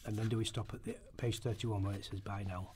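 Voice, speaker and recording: A man's voice: lowish voice, fairly even intonation, quick pace, noisy background. A man reading a script aloud as a narrator in rehearsal, now and then stumbling over his words. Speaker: radio play narrator in rehearsal.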